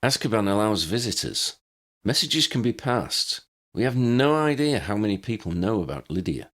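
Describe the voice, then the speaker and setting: A man voicing a serious, cautious adult wizard hero in a storybook, measured and worried. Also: fairly low pitch, sing-song, a bit bright, voice pushed up. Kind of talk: storybook character acting.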